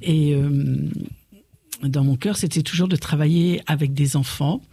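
An older woman from Lorraine with a gravelly, husky voice, speaking as a nun being interviewed. She talks gently and reflectively, often pausing and restarting her sentences. The sound is close and dry. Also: low voice, fairly even intonation, no echo. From Lorraine, eastern France. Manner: gentle, searching for words with frequent hesitations and restarts. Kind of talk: reflective personal testimony.

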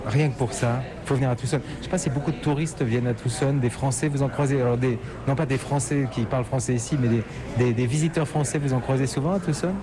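A man's voice: mid-range voice, fairly even intonation, fast, crowd noise. A man hosting a travel radio show, interviewing and presenting in a conversational style.

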